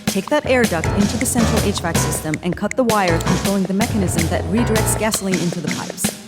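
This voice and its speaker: A woman playing a brisk, sarcastic female spy leader in a comedy audio drama. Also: mid-range voice, over loud music, close to an everyday voice. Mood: briefing. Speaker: team leader agent McGrath.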